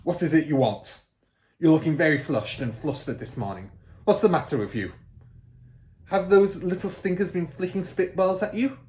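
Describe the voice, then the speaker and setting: A man reads the part of a fierce middle-aged headmistress from a children's storybook. She barks her words loudly and scornfully, bullying whoever listens, with big swoops in pitch. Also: animated, moderate pace, close to an everyday voice. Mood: impatient, brusque. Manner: barking, shouting, snorting and scornful. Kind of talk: children's storybook character reading.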